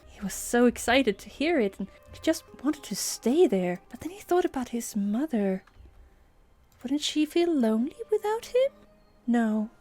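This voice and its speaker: Hushed, whispery woman telling a bedtime story. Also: a little high. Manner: soft, hushed, often whispering. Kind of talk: improvised bedtime story narration.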